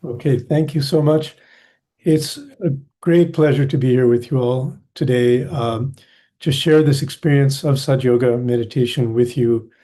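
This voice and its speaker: An older man from the USA introducing a meditation class in a gentle, explanatory way. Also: mid-range voice, moderate pace. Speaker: meditation instructor.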